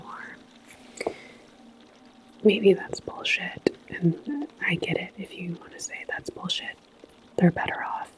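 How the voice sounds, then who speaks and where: Woman, breathy whisper, very slow pace, low-pitched, calm philosophical sci-fi attendant, reassuring ASMR roleplay. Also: rough recording. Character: calm, philosophical attendant at a futuristic portal station. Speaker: ASMR roleplayer playing a transit station attendant.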